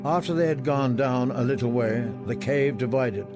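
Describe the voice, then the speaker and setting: A man reading a fantasy story in audiobook style, with measured storytelling delivery. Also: medium pitch. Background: soft music.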